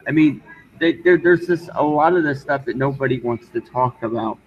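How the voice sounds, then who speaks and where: A man arguing his point in casual online talk, halting and insistent, often repeating himself. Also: mid-range voice. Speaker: discussion guest. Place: online group voice call. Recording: dull, noisy voice-call audio.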